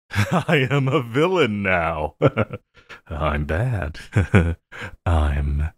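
A man's voice: low-pitched, expressive, husky, no room sound, clean studio sound, exaggerated voice. A man playing an obsessive, lovestruck villain in an intimate audio roleplay, theatrical and giggly, playfully menacing and pleading. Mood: gleefully self-mocking. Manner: theatrical, slow drawling, frequent giggling.

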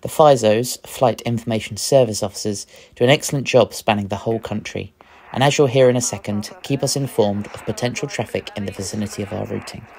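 Creaky-voiced man narrating a flying vlog. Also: on the low side, a little fast, darkish tone. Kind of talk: scripted voice-over narration. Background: music.

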